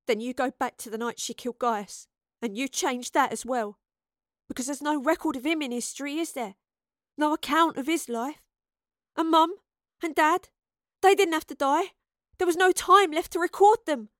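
A woman's voice: high voice, normal speed, no echo, studio quality, voice pushed up, close to an everyday voice. A woman voicing an impassioned young girl in a story, urgent and desperate. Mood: desperate, angry. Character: impassioned girl, hissing, pleading and screaming.

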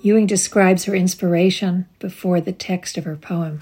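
A woman reciting poetry as a community reader, in a measured, literary reading style. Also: fairly low pitch, steady tone, mellow, soft background music.

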